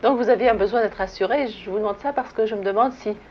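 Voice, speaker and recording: A woman interviewer asking composed, probing questions in a measured broadcast style. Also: mid-range voice. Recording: dull archival broadcast audio with faint hiss.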